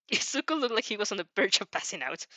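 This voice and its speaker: A woman's voice: mid-range voice, very animated, fairly quick, sharp tone, no room sound. A woman reading fan fiction aloud with casual reactions, giggly and often stumbling over words and rereading them. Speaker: narrator and reactor.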